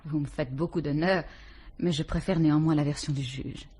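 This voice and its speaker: A young actress with a French accent playing a composed murder suspect who answers guardedly and with faint mockery in a vintage radio drama. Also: female voice, deep voice, fairly even intonation, moderate pace, dark tone, husky. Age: young adult. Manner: cool, guarded, faintly mocking.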